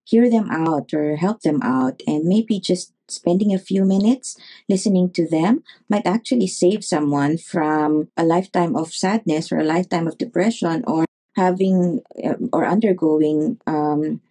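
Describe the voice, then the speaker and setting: A woman, a neurologist guest, giving advice in an interview, speaking in a measured way with many pauses and fillers. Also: on the low side, mellow.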